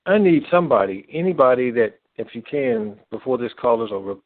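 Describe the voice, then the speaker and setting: Man, co-host of a call-in, halting casual delivery, dry and thin telephone sound. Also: medium pitch, expressive, no echo. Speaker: co-host reading listener questions. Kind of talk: casual conference call, reading questions aloud. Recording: narrow, lo-fi phone line.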